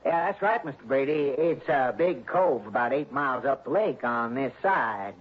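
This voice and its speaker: An American actor playing a folksy, genial, crafty lake lodge keeper in a 1960s radio drama. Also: male voice, medium pitch, expressive, moderate pace, breathy edge. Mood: feigned innocence. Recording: muffled, noisy old radio recording.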